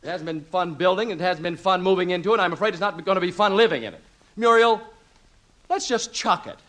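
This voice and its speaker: An actor playing an excitable, sentimental husband and father in a 1950s radio comedy, stammering and emphatic. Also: high voice, fast talker. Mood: exasperated. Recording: vintage 1950s radio recording.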